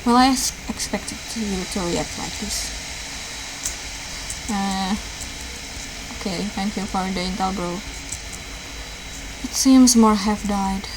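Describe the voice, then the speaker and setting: A woman's voice: medium pitch, slow pace, long pauses. A woman doing a let's play, reading visual novel dialogue aloud with casual asides in a raw home recording. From Indonesia. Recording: clear background noise, raw home recording.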